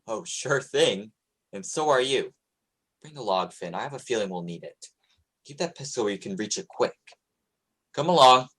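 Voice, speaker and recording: A man's voice: medium pitch, expressive, moderate pace, pauses often, a bit bright, husky. A man playing a young American sloop captain in a radio play, plain-spoken and commanding, with an American accent. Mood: wary. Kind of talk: radio play acting, 1940s style.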